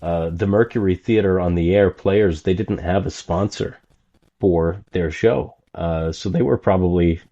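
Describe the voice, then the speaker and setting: A man with a deep voice talks as a guest on a podcast. His tone is dark and mellow, and he comments in a casual, conversational way. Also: a little slow, lo-fi sound.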